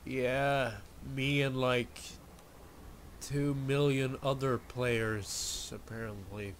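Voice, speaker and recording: A young man with as his language rambles through let's play commentary, loose, jokey and full of swearing. He talks slowly in a mid-range voice. Game sounds play clearly behind him. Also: mid-range voice, fairly even intonation, a little hiss or hum. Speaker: gamer.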